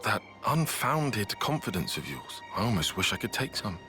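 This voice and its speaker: A man acting a cautious, brooding young soldier in a video game cutscene, soft-spoken, pensive and measured. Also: on the low side, sing-song. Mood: dry, guarded. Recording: music behind the voice.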